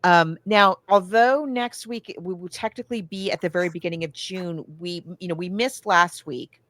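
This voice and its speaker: A woman's voice: on the low side, normal speed, fairly bright tone, no echo. A woman co-hosting a podcast, chatting casually and with gushing enthusiasm about films and books. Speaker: podcast co-host. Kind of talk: casual film and book discussion.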